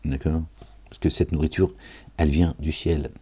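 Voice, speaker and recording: A man's voice: extremely low pitch, steady tone, moderate pace, mellow, breathy edge. A tired man reading scripture aloud and commenting on it in a casual, digressive way, talking straight to his listeners with chuckles. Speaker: self-styled preacher reading and commenting on scripture.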